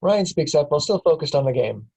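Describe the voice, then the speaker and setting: A man reading the stage directions aloud at a script table reading, in a plain, steady way. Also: fairly high pitch, fast, gravelly, breathy edge. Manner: plain, steady, chuckles at times.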